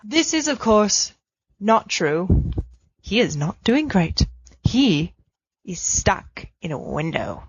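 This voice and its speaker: A woman's voice: medium pitch, big pitch swings, slow pace, husky, dry recording. A woman reads a letter from a fan story aloud, playful and theatrical, her voice leaping up and down. The sound is dry and dull, like a lo-fi home recording. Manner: playful, theatrical reading with big swings. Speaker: podfic reader.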